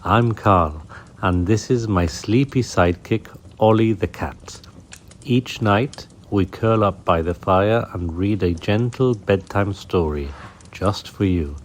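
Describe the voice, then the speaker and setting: A man hosting a children's bedtime story show, narrating in a gentle, soothing, unhurried way. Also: fairly low pitch, slight background noise.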